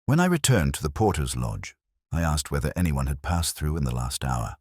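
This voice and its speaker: A man narrating a detective story in the first person, in a measured, literary, reflective manner. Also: a bass voice, very animated, a little mellow, breathy edge, no room sound, studio quality.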